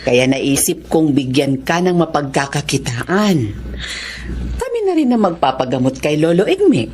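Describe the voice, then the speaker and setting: A woman's voice: low-pitched, very animated, unhurried, a little mellow. A woman acting a kind, generous married benefactor in a Filipino radio drama, gracious and caring.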